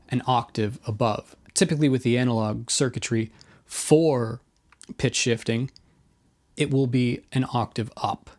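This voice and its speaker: Middle aged guy doing a casual guitar explainer video, mid pitched voice, offhand way of talking. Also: darkish tone. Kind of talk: informal explainer narration to camera.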